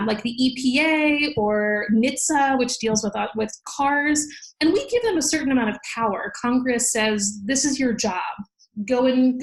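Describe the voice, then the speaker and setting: American woman, bit higher pitched, prof explaining stuff clearly on a podcast interview, very organized. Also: poor audio. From United States. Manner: articulate, organized, explains in plain examples. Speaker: law professor, podcast guest.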